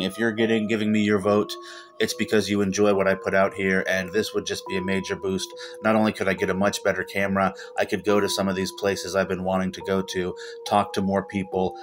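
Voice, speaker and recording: Low, even-toned man over loud music. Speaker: paranormal video host. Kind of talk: casual explainer commentary to camera. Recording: music playing behind the voice. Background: loud background music.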